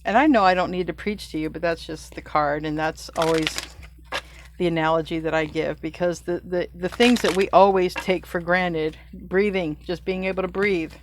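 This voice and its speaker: Chatty woman giving a low-pitched tarot reading. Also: fairly even intonation, normal speed, background noise. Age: middle-aged. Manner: chatty, loose, self-correcting, laughs at own slips. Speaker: tarot reader. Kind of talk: casual spiritual reading.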